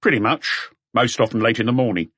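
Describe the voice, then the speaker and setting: A man's voice: mid-range voice, brisk, a bit bright, breathy edge, no echo, pitched above the performer's own voice. A man voicing a junior police detective in an audiobook, reporting details in a matter-of-fact way. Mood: dutiful reporting.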